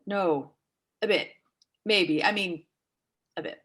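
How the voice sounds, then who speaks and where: A woman acting a blunt, nervously polite character in a naturalistic stage reading, with a halting, dry, tactless way of talking. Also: fairly low pitch, fairly bright tone, breathy edge, heavily acted. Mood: startled, guarded. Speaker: amateur or local theatre actor.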